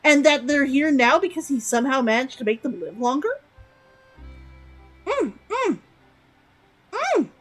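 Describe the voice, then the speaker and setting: A woman's voice: high-pitched, very animated, a little slow, pauses often, a bit bright, soft background music. A young woman streaming a game, chatty and giggly, repeating words aloud to test how they sound. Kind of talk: live game commentary.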